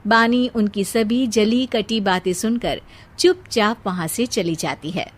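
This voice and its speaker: A woman's voice: medium pitch, unhurried. A woman giving scripted narration for a family cartoon story.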